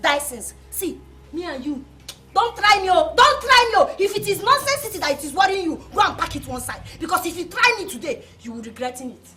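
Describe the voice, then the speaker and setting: Comic skit role: a woman playing a boastful, hot-headed village woman, Nigerian accent, bright sharp tone, lively swings. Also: extremely high pitch, brisk, echoey room, full character voice. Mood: scolding. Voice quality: Nigerian pidgin, threatening and scolding. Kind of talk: comedy skit acting.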